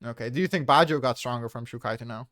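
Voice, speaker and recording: Man, mid-pitched, casual chat, host of a fan debate, very dry sound. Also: fast talker, a bit bright, no room sound.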